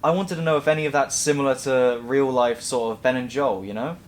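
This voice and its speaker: A man's voice: mid-range voice, brisk, a little hiss or hum. A man hosting a casual cast interview, prodding and teasing his guests with repeated questions. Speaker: interview host.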